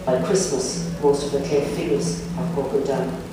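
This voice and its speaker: A man reading a personal memoir piece aloud at a book launch, quiet and solemn. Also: medium pitch, level tone, moderate pace. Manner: quiet, solemn reading, then warm thanks. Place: large echoey museum hall. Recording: echoey hall, voice from far off, noisy.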